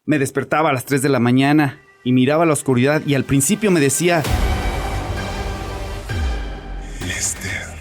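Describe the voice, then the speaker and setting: An actor playing a tormented, guilt-ridden father in a horror audio drama, confessing to a psychiatrist in an agitated, defensive, halting way. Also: medium pitch, lively intonation, close to an everyday voice. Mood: haunted, fearful. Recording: music behind the voice.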